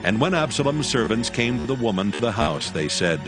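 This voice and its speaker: A man narrating scripture as scripted storytelling, in a measured and solemn manner. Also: medium pitch, sing-song, over music.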